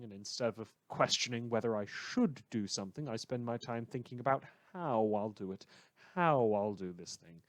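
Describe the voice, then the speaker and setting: A man's voice: mid-range voice, a bit bright, no room sound. A man hosting a radio show, reading news and letters in a polite, understated way with hesitant asides.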